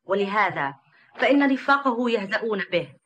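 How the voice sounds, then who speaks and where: Woman narrating a children's cartoon, mid-pitched. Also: lively intonation, normal speed, fairly bright tone, dull audio.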